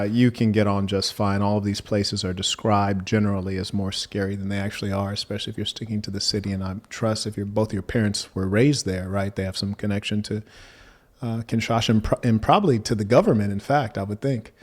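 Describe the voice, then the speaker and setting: A man hosting a debate, speaking in a measured, formal manner and asking probing questions. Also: lowish voice, steady tone. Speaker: debate host.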